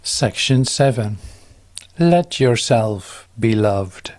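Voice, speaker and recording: A man giving a calm, solemn, measured reading of a devotional text, with drawn-out pauses. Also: fairly low pitch. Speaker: devotional reader.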